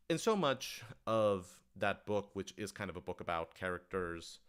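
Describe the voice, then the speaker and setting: A man's voice: sits low, fairly bright tone. A man talking casually and thoughtfully as a writer-director answering audience questions on a livestream, working out his explanations as he goes.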